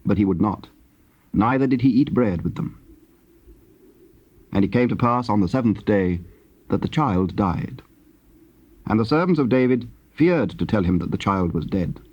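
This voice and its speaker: A man with a husky voice narrating scripture in a solemn, scripted style. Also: fairly low pitch, expressive, moderate pace, pauses often. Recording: dull, lo-fi tape sound.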